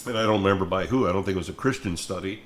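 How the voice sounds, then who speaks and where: An older man speaks in a fairly low voice. His talk is conversational and reflective. He comes across as a plainspoken, thoughtful storyteller. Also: very fast. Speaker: music minister and host.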